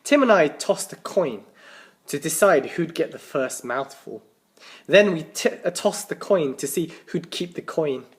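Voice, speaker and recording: A man reading a children's story aloud as a teacher, with animated, dramatic emphasis and the odd stumble over words. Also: on the high side, a little slow. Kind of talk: expressive children's storybook narration.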